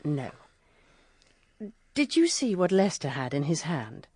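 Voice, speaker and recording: An actress playing a grieving, guilt-ridden widow in a radio drama, speaking in a halting, sorrowful, hushed manner. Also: female voice, sits low, sing-song, breathy edge, exaggerated voice. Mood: numb grief.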